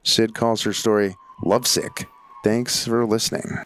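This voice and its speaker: A man hosting a podcast, reading sponsor thanks and introductions in a steady, even way. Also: lowish voice, measured pace. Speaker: podcast host. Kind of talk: read sponsor announcements.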